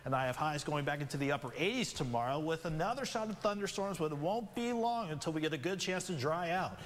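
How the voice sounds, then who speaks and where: Male TV meteorologist, higher register, conversational forecast delivery, reverberant studio sound. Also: a bit bright, slight background noise. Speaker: television weather forecaster. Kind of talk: broadcast weather report, conversational ad-libbed presenting. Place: television studio.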